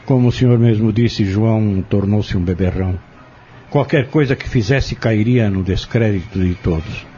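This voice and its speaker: A man acting a composed, persuasive, scheming trader in an audio drama, speaking formally and politely with measured phrasing. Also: on the low side, mellow, a little hiss or hum, close to an everyday voice. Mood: shrewd, persuasive. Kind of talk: dramatic radio-novela acting. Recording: muffled lo-fi audio drama recording. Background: prominent background music.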